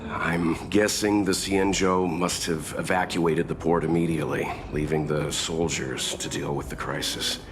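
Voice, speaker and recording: A man voice actor playing a calm, mature gentleman leading travelers in a video game, measured and earnest as he explains. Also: low-pitched, background noise. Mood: observant, wary.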